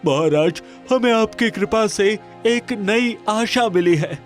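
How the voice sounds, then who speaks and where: A man voicing an excited, grateful village farmer in a cartoon moral story, exclaiming with deference. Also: high-pitched, slow pace, soft background music, voice pushed up. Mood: joyful, amazed.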